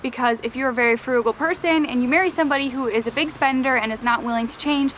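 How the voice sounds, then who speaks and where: Young female vlogger, high voice, light Wisconsin accent, chatty filler-heavy delivery, faint background hiss. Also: fast, fairly bright tone. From United States, Wisconsin. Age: young adult. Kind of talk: casual Q and A chat to camera.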